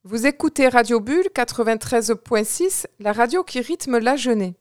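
A woman hosts a radio interview in a friendly, easygoing way, with frequent pauses and fillers. Her voice is fairly high and smooth, and the recording is dry and close. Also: lively intonation, dry recording. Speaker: radio host.

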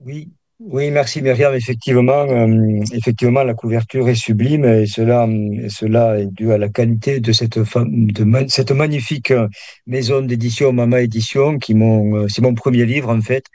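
A man's voice: medium pitch, fairly even intonation, normal speed, darkish tone, dry recording. A man in his sixties with a southern French accent, a meditation teacher speaking as a guest in a radio interview, hesitant with many restarts. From Perpignan, southern France. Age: 60s.

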